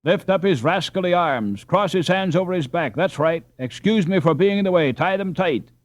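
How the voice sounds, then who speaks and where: A man voicing a brave, commanding Dutch boy captain in a children's storybook, forceful and sure of himself. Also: high voice, animated, normal speed, no echo, voice pushed up. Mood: brisk, commanding.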